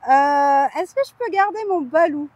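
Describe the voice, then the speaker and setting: High-voiced woman chatting on a vlog. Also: moderate pace, a little mellow, no room sound, highs cut off. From France. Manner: playful, candid, informal. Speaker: vlog host. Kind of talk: chatty theme park news vlog.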